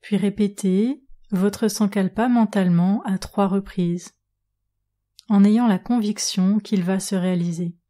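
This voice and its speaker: A woman yoga nidra instructor guiding a relaxation meditation in a calm, measured manner with long pauses. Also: mid-range voice. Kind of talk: guided relaxation meditation narration.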